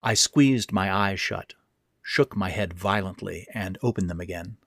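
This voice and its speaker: A man's voice: low-pitched, a little slow, gravelly, a bit bright, dry recording, clean studio sound. A man reading his own first-person horror fiction as narrator, in a dramatic, suspenseful way. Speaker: author and narrator.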